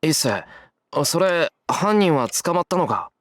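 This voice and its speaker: A man's voice: fairly high pitch, sing-song, moderate pace, fairly bright tone, no echo. A man voicing a good-natured teenage schoolboy in a visual novel, speaking bluntly and informally. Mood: guarded.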